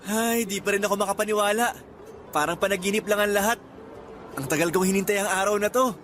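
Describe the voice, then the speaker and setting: An actor playing an excited young Filipino traveller in a radio drama, speaking in an earnest, conversational Filipino accent. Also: male voice, high-pitched, fast talker, slight background noise. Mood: excited, amazed. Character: excited young Filipino man on first trip abroad.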